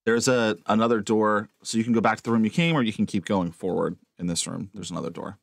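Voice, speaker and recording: Low-voiced man running a tabletop game. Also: fast, vocal fry, no echo, clean studio sound. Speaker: game master. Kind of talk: improvised tabletop game narration, casual.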